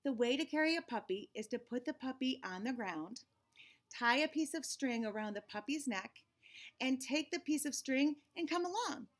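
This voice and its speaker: A woman's voice: high-pitched, expressive. Woman acting out an exasperated, emphatic storybook mama. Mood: exasperated scolding. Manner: drawn-out, emphatic scolding and instructing. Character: exasperated, scolding, loving Southern-folktale mama of a silly child.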